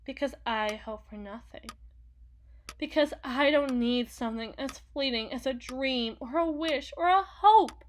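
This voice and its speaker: A woman's voice: fairly high pitch, slight background noise. A woman voicing a powerful, possessive demon in a theatrical ASMR roleplay, speaking formally and imperiously like a coldly seductive deal-maker. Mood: guarded, haughty. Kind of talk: ASMR roleplay, dramatic monologue.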